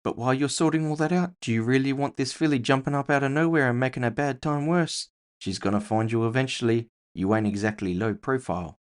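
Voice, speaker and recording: A man's voice: mid-range voice, fairly quick, clear, resonant tone, pitched above the performer's own voice, close to an everyday voice. A man voicing a showy, cocky male orc fighter with slangy, teasing talk in a cyberpunk audio story. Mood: persuasive. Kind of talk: cyberpunk audio fiction character voice.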